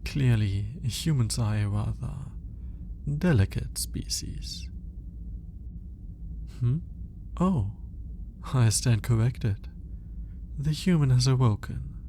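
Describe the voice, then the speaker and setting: A man playing a cold, condescending alien scientist in an ASMR roleplay, speaking in a clinical, formal, deliberate way. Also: medium pitch, animated, dark tone, a little hiss or hum. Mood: clinical, musing. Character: cold, aloof male alien scientist studying a human captive.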